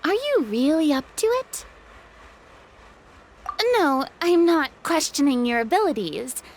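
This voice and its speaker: An actress playing a proud, excitable young lady in an anime video game, with a dramatic, expressive and slightly haughty delivery. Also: high voice, slow, slight background noise, exaggerated voice. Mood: questioning. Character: theatrical young woman, proud but excitable former celebrity. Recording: music playing behind the voice.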